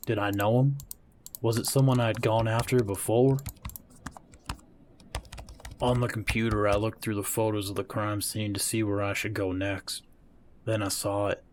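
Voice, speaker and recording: A man's voice: on the low side, a little hiss or hum, natural voice. A man playing a serious, weary veteran homicide detective, narrating a crime drama in the first person.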